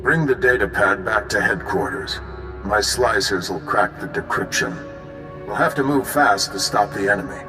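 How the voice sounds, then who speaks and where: An actor playing a decisive, businesslike spy chief briefing an agent in a video game. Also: male voice, on the low side, moderate pace, big-room sound, music in the background, close to an everyday voice. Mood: brisk, urgent. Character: gruff, brisk male spy chief giving mission briefings. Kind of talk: video game cutscene acting.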